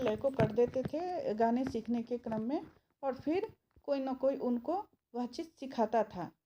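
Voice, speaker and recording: A woman teacher explaining a poem line by line, repeating phrases and pausing often with fillers. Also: high voice. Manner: slow, repeats phrases, many filler pauses. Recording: dull lo-fi sound with faint noise.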